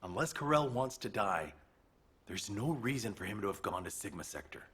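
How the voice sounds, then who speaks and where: An actor playing a skeptical, practical military engineer in a video game, speaking in a matter-of-fact, argumentative way. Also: male voice, fairly low pitch, sing-song, normal speed, a bit bright, slightly rough, full character voice. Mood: skeptical, exasperated.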